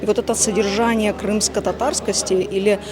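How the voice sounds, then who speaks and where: A woman with a medium-pitched voice speaks in an on-site interview. She explains thoughtfully, repeating herself as she looks for words. A busy crowd is clearly audible behind her. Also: little pitch movement, brisk. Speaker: member of a performance's creative team. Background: crowd and venue bustle.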